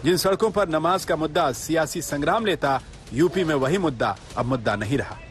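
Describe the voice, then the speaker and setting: A man's voice: a little high, fairly quick, a bit bright. A man narrating a scripted television news report as a voice-over. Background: light background music.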